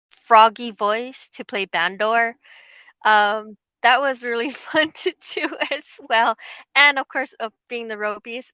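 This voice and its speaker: An enthusiastic woman chats as a podcast guest with emphatic delivery. Her voice is bright and clean, pitched a little high, and recorded dry. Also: clear, resonant tone, no echo. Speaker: podcast guest, amateur voice performer. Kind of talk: enthusiastic conversational recollection.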